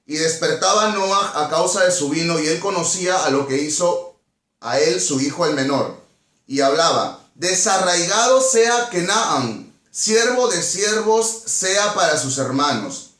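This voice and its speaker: Echoey, higher-voiced Peruvian man teaching scripture. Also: expressive, a little slow, fairly bright tone. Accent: Peruvian Spanish. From Peru. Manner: didactic, repeats phrases and questions, checks in with "ok?". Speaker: Bible teacher. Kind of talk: Bible study teaching, reading verses aloud and explaining.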